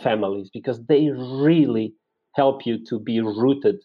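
A man's voice: medium pitch, a little slow. Portuguese man in his forties, slightly dull audio. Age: 40s. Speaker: interview guest. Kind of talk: conversational personal storytelling.

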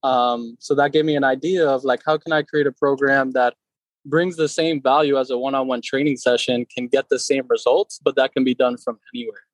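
A man's voice: medium pitch, fairly quick, no echo, rough recording. Man in his thirties, from Connecticut, easygoing guest, mid-range voice, dry close recording. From Connecticut, USA. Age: 30s. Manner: relaxed, many fillers. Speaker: personal trainer, guest. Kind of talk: casual interview.

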